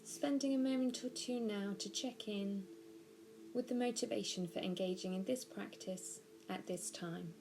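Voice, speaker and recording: A woman narrating a guided body scan meditation, giving gentle, measured instructions slowly with pauses. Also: medium pitch, gravelly. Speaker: mindfulness practitioner guiding a meditation.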